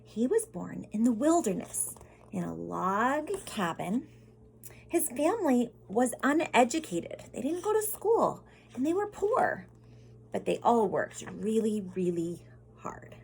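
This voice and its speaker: A woman's voice: mid-range voice, gravelly, darkish tone, slight background noise. An American woman reads a picture book aloud as a teacher, explaining simply and putting questions directly to children. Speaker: teacher reading to pupils.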